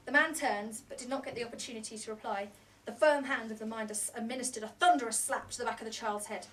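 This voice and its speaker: A woman's voice: fairly high pitch, steady tone, quick pace, a bit bright. A woman reading a novel aloud as scripted narration, at a book launch. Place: indoor bookshop launch party. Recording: distant mic in a noisy room.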